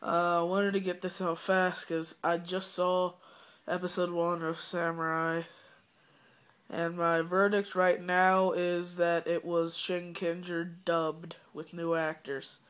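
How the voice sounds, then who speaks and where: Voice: mid-range voice, level tone, slow, fairly bright tone, clean tone. A young fan who is sick and coughing, giving a rambling, disappointed casual review full of fillers.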